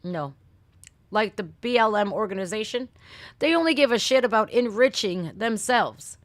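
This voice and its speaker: A woman's voice: mid-range voice, animated, normal speed, no room sound. Blunt American woman with a dry, close sound. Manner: blunt, sweary, folksy slang. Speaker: reaction video host. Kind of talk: casual livestream rant and chat.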